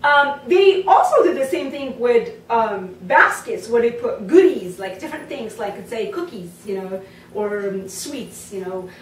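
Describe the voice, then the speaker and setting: A woman's voice: on the high side, big pitch swings, measured pace, slight background noise. A woman from Morocco, a belly dance teacher, talking casually to camera with lots of fillers and asides. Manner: chatty, many fillers and asides. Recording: roomy sound from a distant mic.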